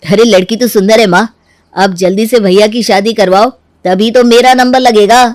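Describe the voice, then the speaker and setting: A woman's voice: medium pitch, fairly even intonation, moderate pace, a little mellow, no room sound, clean studio sound, close to an everyday voice. A woman voicing a playful, eager young man, the younger brother in an acted family story. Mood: teasing, eager. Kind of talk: acted dialogue in a Hindi moral story.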